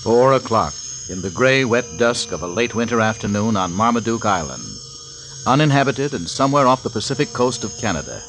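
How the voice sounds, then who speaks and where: A man narrating a 1940s radio adventure in a dramatic, measured way. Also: on the low side, expressive, slight background noise, poor audio. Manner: dramatic, slowing to hushed tones.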